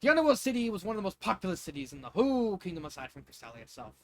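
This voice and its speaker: A man's voice: high-pitched, big pitch swings, racing, a little mellow, slight background noise. A man narrating fan fiction in an informal, amateur way, stumbling over words with casual asides.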